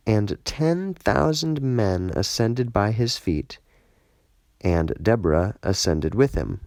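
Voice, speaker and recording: A man reading scripture as scripted narration, solemn and measured, in short deliberate phrases. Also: fairly low pitch, darkish tone, no echo, studio quality. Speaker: scripture reader, audiobook narrator.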